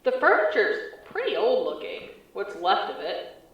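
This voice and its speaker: A woman's voice: medium pitch, wildly swooping pitch, a little slow. A woman playing a wary field agent in an audio drama, reporting tersely and conversationally as she explores an eerie building over a radio link. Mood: uneasy, focused. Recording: echoey, distant, muffled transmission.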